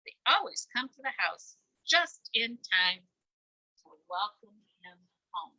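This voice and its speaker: Older female storyteller for children's read-aloud: lively, swooping intonation, slow pace, playful asides, close dry sound. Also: a little high, no room sound, low-quality recording. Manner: expressive, asks playful questions. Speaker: volunteer grandparent storyteller.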